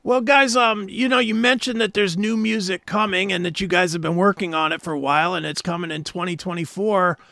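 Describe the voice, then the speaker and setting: A man's voice: high-pitched, steady tone, fairly quick, gravelly, few pauses, sharp tone, dry recording, studio quality. An American man hosting a radio show, interviewing a band conversationally with long, wordy questions.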